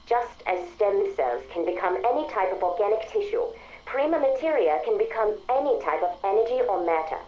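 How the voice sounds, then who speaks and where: A woman's voice: medium pitch, expressive, fairly quick, warm tone, faint music behind, close to an everyday voice, low-quality recording. An actress playing an articulate female artificial intelligence that longs for a body, speaking precisely and formally in video game audio logs. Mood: precise, curious. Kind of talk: dramatic video game audio log acting.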